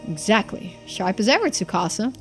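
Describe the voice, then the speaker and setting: A woman's voice: mid-range voice, sing-song, normal speed, a bit bright. A confident young man from a story game, voiced by a woman. He is laid-back and shrewd, and talks in a composed, matter-of-fact way. Game music plays behind the voice. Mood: shrewd, calm. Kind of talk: voicing game dialogue in a playthrough.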